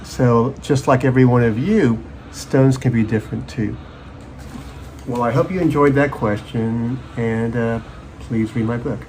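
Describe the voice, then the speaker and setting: A man's voice: medium pitch, measured pace, darkish tone, slight background noise. A man in his fifties, a children's author, presenting his book from a home office.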